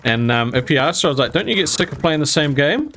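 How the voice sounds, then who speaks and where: A man's voice: on the high side, very animated, fast, sharp tone, a little hiss or hum. A young man casually telling a story while gaming, rambling and laughing often, with some mild swearing. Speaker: gaming channel host.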